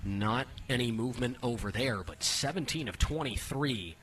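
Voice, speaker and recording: Bright-voiced male baseball play-by-play announcer. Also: medium pitch, normal speed, rough recording. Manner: clipped descriptive phrases, rising excitement on action. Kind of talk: radio sports play-by-play commentary.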